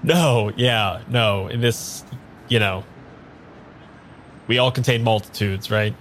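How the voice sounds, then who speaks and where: A man's voice: lowish voice, steady tone, a bit bright, a little hiss or hum. A man playing a bewildered, earnest amnesiac in an improvised audio drama, speaking in an unsure, conversational way. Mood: awkward. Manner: halting, unsure, rambling. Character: bewildered, earnest man without his memory. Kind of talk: improvised audio drama dialogue and first-person narration.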